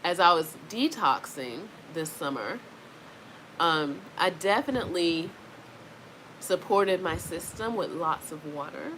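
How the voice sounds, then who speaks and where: A woman's voice: fairly low pitch, lively intonation, slow pace, a bit bright, low-quality recording. A congested woman vlogging casually from home, rambling with many fillers while fans run in the background. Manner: rambling, chatty, frequent fillers. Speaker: wellness vlogger. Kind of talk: casual talking-to-camera vlog. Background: faint fan noise.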